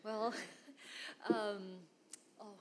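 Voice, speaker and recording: Slow-speaking Chinese-accented woman. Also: mid-range voice, flat delivery, a little mellow. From northeast China. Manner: halting, frequent fillers, laughs between phrases. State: runny nose from allergies. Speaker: church member giving testimony. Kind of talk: personal testimony.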